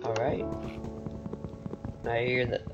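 A teenage boy with a recently deepened voice, rambling and chatty as he gives casual commentary over his own gameplay. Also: monotone, slow pace, highs cut off, music in the background. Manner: rambling, chatty, laughs often. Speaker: gaming channel host.

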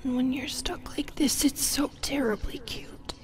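A woman playing a possessive, teasing female sleep paralysis demon in a whispered ASMR roleplay, intimate and breathy, both menacing and affectionate. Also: sits high, fairly even intonation, unhurried, faint music behind. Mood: teasing, soothing.

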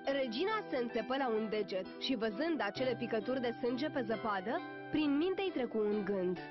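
High-voiced woman narrating a fairy tale. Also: animated. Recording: muffled old cartoon soundtrack, music behind.

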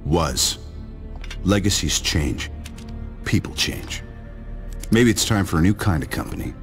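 An actor playing a confident, sardonic company boss in a video game cutscene, with dry, quick retorts. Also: male voice, low-pitched, expressive, faint music behind. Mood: resolute.

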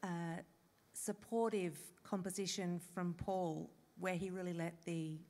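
A woman's voice: on the low side, steady tone, measured pace, a little mellow. A woman in the audience asking a thoughtful question into a handheld microphone. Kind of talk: question from the floor. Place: concert hall audience. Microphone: handheld roving mic.

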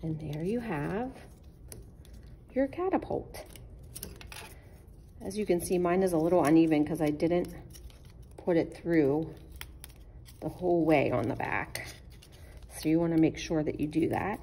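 A woman with a slightly low voice presents a children's craft demonstration. She speaks slowly and patiently, in simple steps. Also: mellow, slight background noise. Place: indoors at a wooden table.

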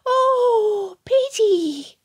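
A woman voicing an excited small toddler boy in an audiobook, with babyish, mispronounced single words. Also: extremely high pitch, drawn-out words, pitched above the performer's own voice, heavily acted. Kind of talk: audiobook character voice.

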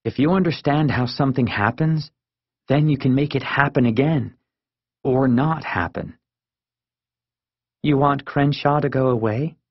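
A man's voice: medium pitch, measured pace, pauses often, slightly rough, no room sound, muffled recording. A man voicing a frank, spirited young schoolgirl in an audiobook, speaking emphatically and earnestly. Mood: earnest, matter-of-fact.

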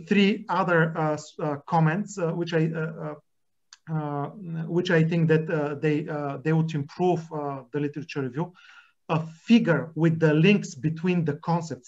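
A man's voice: a little high, darkish tone. A man, a journal editor on a video call giving advice at an academic workshop, with many hesitations and restarts. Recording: muffled video call audio.

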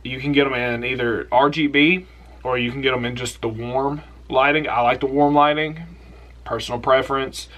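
Young guy hosting an outdoors vlog; bright, forceful tone, loose and rambling, keen about his picks, close to the camera in a small room. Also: medium pitch, normal speed, muffled recording. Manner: relaxed, rambling, enthusiastic with trailing asides. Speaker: outdoors channel host. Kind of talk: casual product recommendation vlog.

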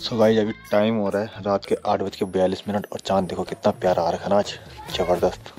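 A man's voice: low-pitched, flat delivery, brisk, warm tone. A man, chatting playfully to viewers in a casual vlog. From Uttarakhand, India. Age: 20s. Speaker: vlogger. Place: village house kitchen and stone courtyard. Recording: music laid under the voice. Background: music.

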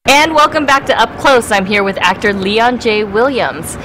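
A woman hosting a TV interview, asking brisk, upbeat questions outdoors. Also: on the high side, animated, over music, low-quality recording. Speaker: host. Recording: clear traffic noise outdoors. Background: street traffic.

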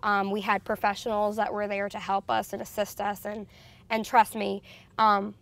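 A woman's voice: medium pitch, little pitch movement, normal speed, vocal fry, fairly bright tone. A woman in her thirties from a farming family, interviewed outdoors on a lapel mic, talking conversationally with frequent "um" fillers. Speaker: farm family member. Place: outdoors in tall grass by trees.

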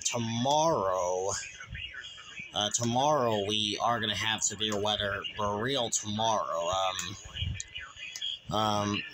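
An American man giving a casual vlog update in a halting way, often repeating words and phrases. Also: on the low side. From United States. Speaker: hobbyist YouTuber. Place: small room at home, indoors. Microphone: handheld phone or camera. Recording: very noisy handheld home recording. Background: loud background noise.

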